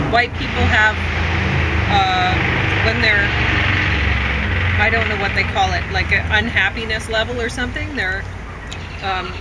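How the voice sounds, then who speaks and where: A woman's voice: on the high side, heavy background noise. A woman reviewing films in a casual, unscripted chat, rambling and often correcting herself as she goes. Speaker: film reviewer.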